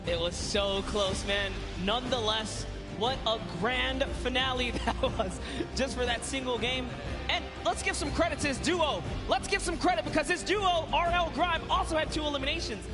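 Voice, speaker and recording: A man co-casting a live esports match, hyped on the play-by-play and relaxed in banter. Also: extremely high pitch, moderate pace, music in the background. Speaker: esports co-caster.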